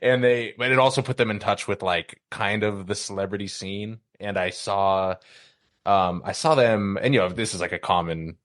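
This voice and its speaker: Male podcast co-host, deep voice, close dry sound, loose and rambling conversational delivery that circles around points. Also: moderate pace, fairly bright tone, no echo. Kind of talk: casual chat.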